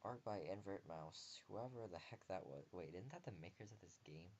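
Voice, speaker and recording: Young man, low voice, let's player, reading game text expressively, casual wry asides, slightly muffled lo-fi recording. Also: animated, moderate pace, a little mellow, slight background noise. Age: young adult. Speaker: let's player reading a visual novel aloud.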